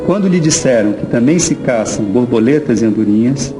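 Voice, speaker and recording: A Brazilian man recites poetry in a voice of medium pitch. Instrumental music plays clearly behind him. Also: lively intonation, a little fast, poor audio.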